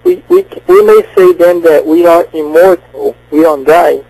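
Brazilian-accented man calling in, gravelly voice. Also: fairly high pitch, moderate pace, a little mellow, no room sound, rough recording. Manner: hesitant, checks understanding. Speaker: caller. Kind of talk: phone-in conversation.

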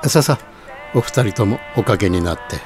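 An actor playing a courteous, welcoming bar owner in a scripted audio drama. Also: male voice, on the low side, a little fast, over loud music, close to an everyday voice.

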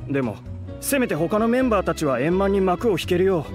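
A man's voice: high-pitched, sing-song, fast talker, faint music behind. An actor playing an earnest, courteous young man from a theater troupe in a Japanese anime game. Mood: downcast.

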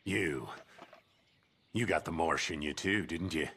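An actor playing a grim, menacing American outlaw in a western video game, with an ominous, taunting drawl. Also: male voice, rumbling low, unhurried, sharp tone, husky, exaggerated voice. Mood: taunting warning. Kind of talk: video game cutscene monologue.

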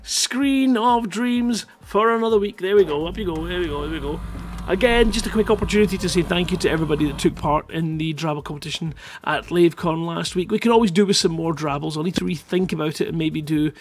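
A man's voice: on the high side, quick pace, few pauses. A middle-aged man hosting a live streamed show in a casual, jokey, rambling way, speaking into a headset. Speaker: host of a live streamed writing-competition show. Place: indoors at a computer, live stream.

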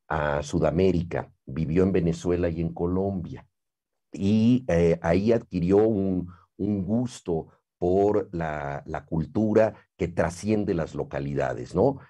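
Deep low-voiced Mexican man, interviewer, dark timbre, articulate, measured. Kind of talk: cultured interview conversation. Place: living room with bookshelves, seated.

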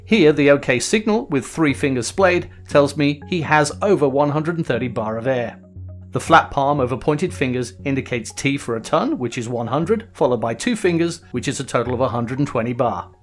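A middle-aged man hosting a diving lesson, giving calm, scripted voice-over instructions and acting out signals as quoted lines. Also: medium pitch, moderate pace, soft background music. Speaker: host.

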